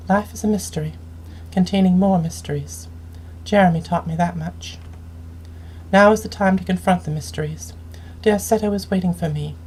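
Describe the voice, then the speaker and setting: A woman reading a scripted first-person story aloud in a measured, solemn, dramatic way. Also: lowish voice, mellow, a little hiss or hum, low-quality recording. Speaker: game streamer reading aloud.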